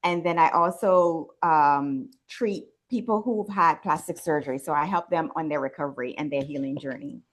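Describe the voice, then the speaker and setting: A woman's voice: sits low, moderate pace, few pauses, low-quality recording. A woman in her forties from the Bahamas, telling her own story conversationally as an interview guest. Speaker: interview guest, wellness business owner and nurse.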